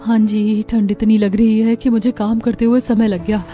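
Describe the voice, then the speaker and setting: A woman voicing a humble, hardworking young daughter-in-law in a cartoon story, polite and gentle, with faint music behind. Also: fairly high pitch, flat delivery, normal speed, lightly acted.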